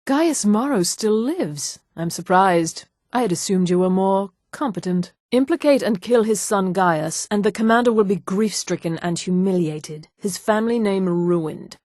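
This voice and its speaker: A woman playing a poised, coolly menacing elf assassin in a fantasy video game, speaking with formal, measured, deliberate diction. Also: mid-range voice, a little mellow, dry recording, clean studio sound. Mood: disappointed, then calculating.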